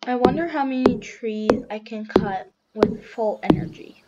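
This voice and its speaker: A young woman with a slightly hoarse voice, chatting casually as she plays a farming game and reads its text aloud. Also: fairly high pitch, unhurried, muffled recording, very noisy. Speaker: gamer narrating a farming game. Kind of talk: casual let's-play commentary. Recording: game music loud behind voice.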